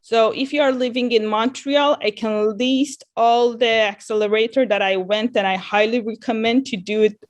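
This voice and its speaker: Earnest woman, brightish voice. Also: a little high, steady tone, normal speed, few pauses. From Iran, grew up in Dubai. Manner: earnest, repeats and restarts words. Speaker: guest, company founder. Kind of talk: casual interview.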